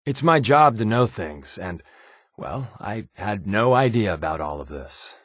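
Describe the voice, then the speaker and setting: A man's voice: fairly low pitch, big pitch swings, measured pace, fairly bright tone, no room sound, clean studio sound, exaggerated voice. A man playing a sly, wry undercover resistance agent in a video game, talking in a casual, glib, sardonic way. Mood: joking, sardonic. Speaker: video game companion character. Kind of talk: scripted game dialogue, conversational.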